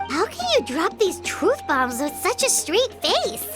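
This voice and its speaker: A woman voicing a small, excitable fairy companion who whines and exclaims expressively. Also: extremely high pitch, a little slow, music in the background, full character voice. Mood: surprised. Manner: expressive, whiny, talks about herself in third person. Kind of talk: video game voice acting.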